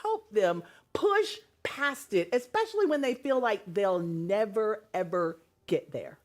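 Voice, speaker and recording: A woman hosting a TV talk show, asking expressive questions and affirming her guest often. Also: mid-range voice, measured pace.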